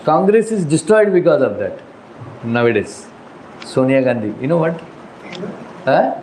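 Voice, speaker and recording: Um homem com sotaque sul-indiano, dando aula com conversa solta e risadas. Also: male voice, a little high, sing-song, a little slow, darkish tone, a little hiss or hum, rough recording. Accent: South Indian English. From South India. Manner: chatty, asides and laughs, mixes in Hindi. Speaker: spiritual teacher. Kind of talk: scripture class lecture.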